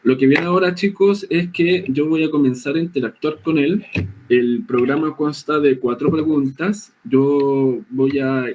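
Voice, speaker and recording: A man's voice: fairly high pitch, a little slow, darkish tone, a little hiss or hum, poor audio. A man hosting a professional program, speaking hesitantly with many fillers. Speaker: host. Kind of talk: hosting a professional talk show.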